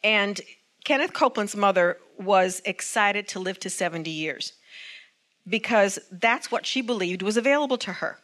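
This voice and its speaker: A woman's voice: mid-range voice, expressive, moderate pace, a bit bright. Woman preacher, earnest teaching sermon, mid-range voice, calls for responses. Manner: earnest, asks the congregation for responses.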